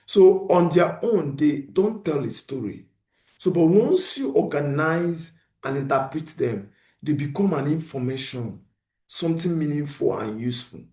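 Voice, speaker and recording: A man's voice: a little high, unhurried, reverberant. A man lecturing beginners, often asking a question and then answering it himself. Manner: animated teacher who poses questions and answers them himself. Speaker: instructor.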